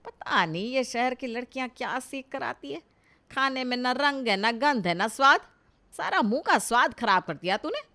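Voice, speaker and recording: A woman playing a nagging, sharp-tongued older village mother-in-law in a cartoon family comedy, scolding and sarcastic. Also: high-pitched, lively intonation, moderate pace, full character voice. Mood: disgusted complaining. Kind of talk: Hindi animated family comedy story acting.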